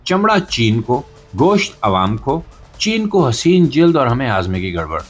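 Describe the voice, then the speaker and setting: Animated Pakistani man doing satirical commentary. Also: mid-range voice, a little slow, slightly rough, soft background music. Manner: sarcastic, theatrical, rhetorical delivery. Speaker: podcast host. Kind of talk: satirical news commentary monologue.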